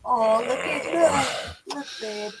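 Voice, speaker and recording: Cooing woman, off-mic and slow. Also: sits high, very noisy. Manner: cooing, doting. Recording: off-mic, far from the microphone.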